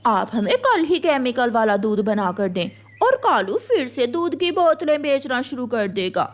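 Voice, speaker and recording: A woman's voice: a little high, sing-song, unhurried. A woman playing a bossy, greedy female crow in a children's cartoon. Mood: eager, greedy.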